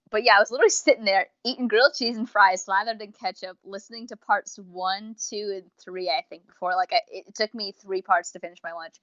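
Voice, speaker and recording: A woman's voice: mid-range voice, sharp tone, no echo. A young adult woman chatting casually as a podcast co-host, rambling and interrupting herself as she goes. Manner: chatty, tangent-prone, self-interrupting. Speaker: podcast co-host reviewing audio dramas. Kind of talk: casual rambling review chat. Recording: dull, lo-fi close dry mic.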